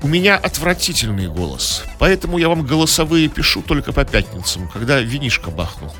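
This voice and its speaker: A man co-hosting a comic radio show, riffing in a mock-serious deadpan way. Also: medium pitch, brisk, soft background music.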